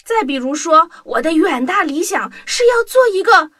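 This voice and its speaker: A woman telling a children's story in a playful, animated first-person voice. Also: extremely high pitch, normal speed, dry recording, studio quality. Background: background music.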